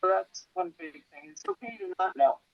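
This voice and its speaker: A study group member sharing a story in a rambling, lively way. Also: mid-range voice, normal speed, vocal fry, no room sound. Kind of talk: casual group discussion. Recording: dull lo-fi sound.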